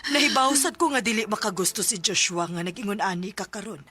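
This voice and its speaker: A woman's voice: medium pitch, quick pace, bright, forceful tone, slightly rough, dry recording. An actress with a Cebuano Filipino accent playing a concerned middle-aged mother, earnestly pleading, in a radio drama. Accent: Filipino, Cebuano-speaking. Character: concerned mother pleading with her grieving adult daughter. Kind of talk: Philippine radio drama acting.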